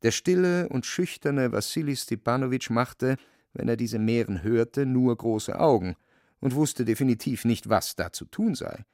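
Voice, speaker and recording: Gravelly male radio drama narrator. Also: mid-range voice, expressive, a little slow, a little mellow, no echo, studio quality.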